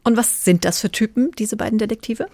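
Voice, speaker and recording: A woman's voice: a little high, brisk, mellow, dry recording. A woman hosting a radio book programme, presenting from a script and putting questions in an orderly way. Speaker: radio host.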